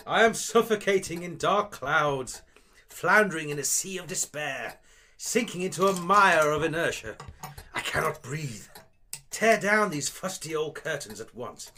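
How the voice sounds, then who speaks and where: A small shrew-like alien thespian played by a man in an audio drama, fussy and grandly melodramatic. The delivery is florid and plummy, with pitch that leaps about. There is clear noise on the recording. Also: expressive, slow pace, a bit bright. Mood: anguished, melodramatic. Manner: theatrical, florid, plummy declaiming. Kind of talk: scripted audio drama acting.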